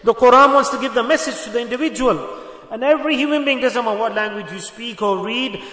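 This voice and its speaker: A male preacher whose first language is Urdu delivers an exhorting sermon. His voice sits very high and sounds bright and forceful, and he drives points home with rhetorical questions and repetition. The recording carries the long reverb of a large hall. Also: quick pace.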